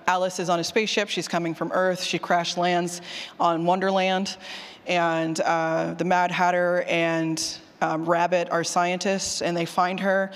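A woman giving a casual, chatty workshop talk and taking questions, informal and self-deprecating, with a ready laugh. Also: fairly low pitch. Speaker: conference speaker on audio drama writing. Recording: echoey hall with long reverb.

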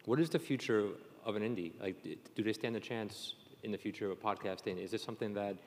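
In a reverberant hall, a man with a deep voice moderates a discussion. He speaks off the cuff, circling through his questions and rephrasing them as he goes. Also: fast. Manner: rambling questions, restarted and rephrased. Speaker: panel moderator. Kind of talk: unscripted panel questioning. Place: indoor conference panel hall.

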